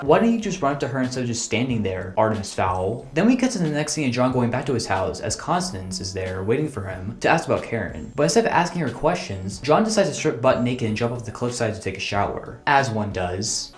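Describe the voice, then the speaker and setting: A man's voice: medium pitch, sing-song, fairly quick, hardly any pauses, soft background music. A man giving scripted, humorous film review commentary in a sarcastic, incredulous way. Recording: roomy, echoey voice-over.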